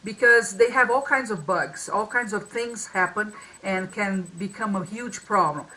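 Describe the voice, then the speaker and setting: A woman's voice: medium pitch, measured pace, sharp tone, slight background noise, low-quality recording. A woman co-hosting a marketing talk over a video call, with a strong voice and a loose, restarting way of speaking. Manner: many restarts and fillers. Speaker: co-host. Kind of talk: casual webinar talk.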